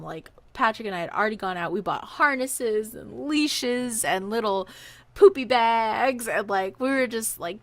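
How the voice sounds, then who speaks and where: A woman telling a personal story in a casual podcast style, animatedly acting out what people said. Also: on the high side. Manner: animated, acts out quoted dialogue.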